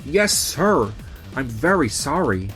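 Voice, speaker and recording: A man's voice: mid-range voice, big pitch swings, slow pace, fairly bright tone, faint music behind, rough recording. A man voicing an anxious, stammering young male nurse, insecure and pleading, in a manga dub. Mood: dejected, apologetic. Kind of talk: animated romantic-comedy dub acting.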